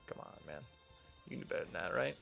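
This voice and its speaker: A man's voice: sits low, big pitch swings, fast talker, vocal fry, a bit bright, soft background music. A young man with a General American accent, rambling casually to himself while playing a video game. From United States. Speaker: streamer. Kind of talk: casual gameplay commentary.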